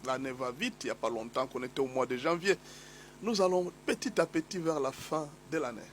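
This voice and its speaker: A man with a cold and a slightly hoarse voice, preaching a sermon as a pastor, emphatic and repetitive as he exhorts his listeners. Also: medium pitch, fairly quick, slight background noise. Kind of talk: sermon teaching.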